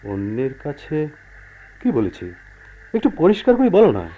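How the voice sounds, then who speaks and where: A man acting a calm, pragmatic husband who earnestly placates his unhappy wife in a radio play. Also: on the high side, unhurried, full character voice. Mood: puzzled, defensive. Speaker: radio drama actor. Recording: dull, noisy old radio drama recording.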